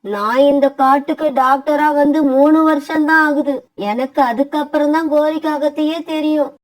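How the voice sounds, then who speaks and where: A woman's voice: sits high, fairly even intonation, moderate pace, natural voice, low-quality recording. A woman voicing a bossy female kite bird doctor in a cartoon, speaking curtly and dismissively. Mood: matter-of-fact. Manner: curt, dismissive, lecturing. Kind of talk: cartoon voice acting.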